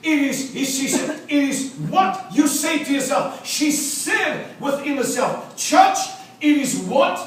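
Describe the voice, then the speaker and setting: High-voiced preacher with Afrikaans roots, echoey church. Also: male voice, expressive, slow pace, few pauses, distant mic. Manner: rhythmic repetition, rising to emphatic preaching, jokes with the crowd. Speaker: visiting preacher. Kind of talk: revival sermon. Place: church sanctuary.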